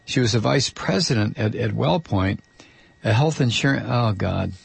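Male talk radio host, gravelly and hoarse, dry studio sound, angry sarcastic ranter. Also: lowish voice, animated, moderate pace, no echo. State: losing his voice. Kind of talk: political talk radio monologue.

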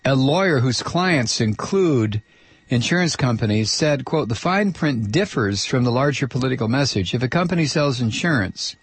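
Male talk radio host, gravelly and hoarse, dry studio sound, angry sarcastic ranter. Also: medium pitch, no room sound. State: losing his voice. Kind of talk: political talk radio monologue.

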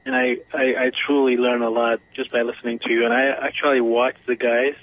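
A man from Ethiopia on a call-in show. He speaks at a middle pitch in an earnest, halting way, stumbling and repeating himself as he builds his point. He comes through a narrow, tinny phone line. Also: little pitch movement, slight background noise. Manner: earnest, hesitant, repeats words. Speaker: caller.

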